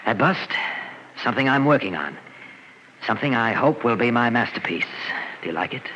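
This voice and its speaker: On a dull, very noisy old radio recording, a deranged sculptor who thinks he is Death. He is theatrical and grandiose, and his pitch swings widely. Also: lively intonation, fairly quick, pushed and bright. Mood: smooth, sinister. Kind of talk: old-time radio drama acting.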